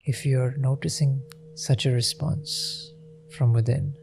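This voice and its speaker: A man leading a guided meditation in a gentle, unhurried manner with long pauses. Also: medium pitch, steady tone, vocal fry, a little hiss or hum.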